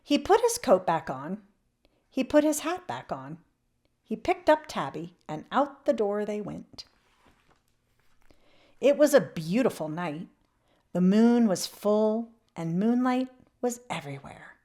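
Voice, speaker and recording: A woman reading a children's picture book aloud expressively, with emphatic swells and hushed drops. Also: medium pitch, very animated, takes it slowly, long pauses. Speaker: children's read-aloud channel host.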